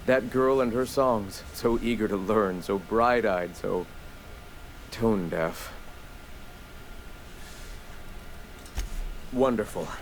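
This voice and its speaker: An actor voicing a genteel, eccentric rebel leader in a video game, speaking in a wordy, theatrical, idealistic way. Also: male voice, lowish voice, unhurried, slight background noise. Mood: musing, enthused. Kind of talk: video game dialogue acting.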